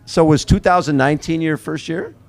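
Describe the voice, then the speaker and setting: A man's voice: fairly high pitch, a little fast, creaky. A man hosting a casual interview show in a hotel, chatty and joking, often summing up his guest's answers.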